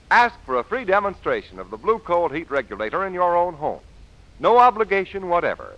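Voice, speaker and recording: Old-time radio pitchman: male, lively inflection, slightly high, emphatic sales delivery, faint recording noise. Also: dull audio. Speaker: radio announcer. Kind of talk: 1940s scripted radio advertisement.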